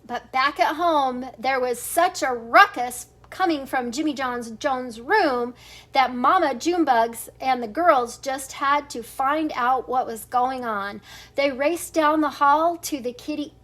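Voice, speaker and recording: Older female storybook reader, high voice, unhurried, expressive, stumbles a little and chuckles. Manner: stumbles over words, chuckles as she reads. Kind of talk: expressive children's read-aloud narration.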